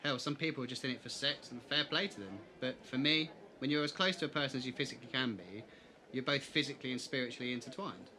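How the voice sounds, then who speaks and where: A man's voice: mid-range voice, fast talker, pushed and bright, a little hiss or hum, natural voice, rough recording. A man playing a candid, easygoing, teasing young man in a fan audio drama. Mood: frank, teasing.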